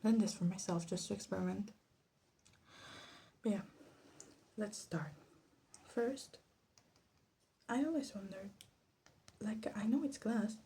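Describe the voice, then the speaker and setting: A soft-spoken woman giving casual, rambling ASMR commentary, hesitant and drifting into whispers. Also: mid-range voice, slow pace, pauses often, a little hiss or hum. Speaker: ASMR creator making perfume. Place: small room at home.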